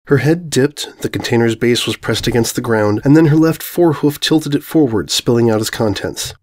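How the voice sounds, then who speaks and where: A man's voice: mid-range voice, moderate pace, a little mellow. A man reading scripted comic fiction as narrator.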